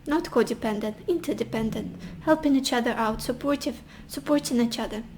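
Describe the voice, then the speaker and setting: Woman, high pitch, voice fading and hoarse; soft, reassuring tarot-reading delivery. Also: a little fast, a little hiss or hum. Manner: gentle, reassuring, addresses the viewer directly. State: losing her voice, hoarse. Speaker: tarot reader. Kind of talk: casual pick-a-card tarot reading.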